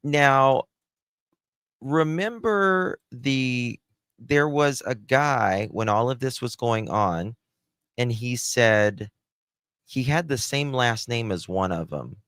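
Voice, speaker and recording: A man's voice: medium pitch, expressive, takes it slowly, a bit bright, smooth, no room sound, studio quality. A man hosting a casual live commentary, thinking aloud in a conversational way as he reacts and reads out comments. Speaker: host reacting to recordings and reading live comments.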